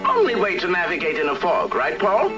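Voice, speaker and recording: A man playing a quick-witted, take-charge young British musician in a 1960s cartoon, brisk and wry. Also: sits high, huge pitch swings, distant mic, over loud music.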